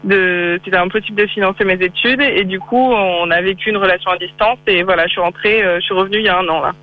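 A woman's voice: mid-range voice, quick pace, soft background music. A young woman from France with a faint English-tinged lilt, answering interview questions hesitantly, with many fillers and restarts. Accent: slight English-tinged French. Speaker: interviewee, freelance graphic designer. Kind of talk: casual interview over a call. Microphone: phone or call line. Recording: tinny phone line.

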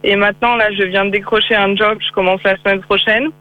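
A woman's voice: mid-range voice, level tone, a little fast, no echo. A young woman from France with a faint English-tinged lilt, answering interview questions hesitantly, with many fillers and restarts. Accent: slight English-tinged French. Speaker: interviewee, freelance graphic designer. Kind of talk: casual interview over a call. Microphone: phone or call line. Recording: tinny phone line.

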